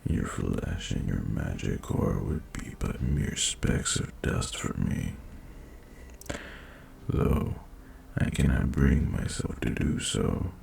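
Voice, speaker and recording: A man voicing an ancient, haughty and seductive male dragon in a fantasy romance audio roleplay, speaking formally in a breathy, gravelly murmur with teasing condescension. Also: rumbling low, slow, a little mellow, slight background noise, full character voice. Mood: pensive, intimate.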